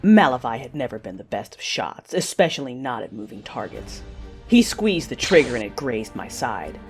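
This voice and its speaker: A woman playing a passionate, fierce but wounded Sith warrior, narrating her own story in an intimate, breathy audio-drama style. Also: rumbling low, sing-song, normal speed, faint music behind. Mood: fierce, driven. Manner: intimate, breathy, intense delivery.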